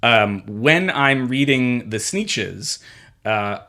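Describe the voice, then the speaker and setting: Man in his thirties, podcast co-host: bright, forceful timbre, meandering delivery with lots of restarts. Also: mid-range voice, animated. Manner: rambling, halting, full of fillers and restarts. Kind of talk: casual comedic chat.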